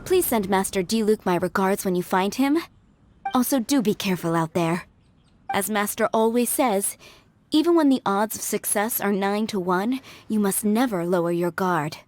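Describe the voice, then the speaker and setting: A woman's voice: medium pitch, moderate pace, slight background noise, close to an everyday voice. A woman playing a polite, composed head maid of an estate in a video game, speaking formally and attentively. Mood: gracious, caring. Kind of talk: video game character acting. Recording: loud music behind the voice.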